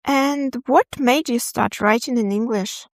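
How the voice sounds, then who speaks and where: Woman podcast host, kinda high voice, friendly, says yes yes a lot, dry sound. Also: measured pace, dry recording. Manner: friendly, encouraging, repeats words. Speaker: podcast interviewer. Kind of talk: casual interview.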